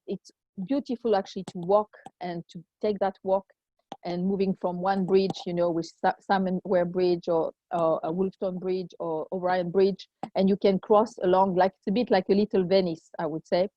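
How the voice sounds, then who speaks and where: Woman with a low, muffled voice. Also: fairly even intonation, moderate pace. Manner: hesitant, many fillers. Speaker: volunteer giving a city tour. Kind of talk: informal online presentation. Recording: dry, muffled video call audio.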